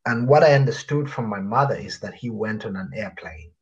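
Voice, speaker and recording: A man in his thirties from Uganda, telling his life story as a guest in an interview, reflective and pausing with fillers. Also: fairly low pitch, breathy edge, lo-fi sound. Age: 30s. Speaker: guest author.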